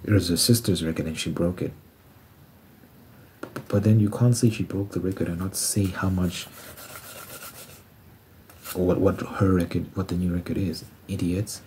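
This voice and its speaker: A man's voice: low voice, fairly even intonation, moderate pace, long pauses, a little mellow, slight background noise, poor audio. A man in his twenties chatting casually on an ASMR channel, musing aloud and doing sums as he talks to himself. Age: 20s. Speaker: ASMR creator and musician. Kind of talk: casual rambling ASMR chat.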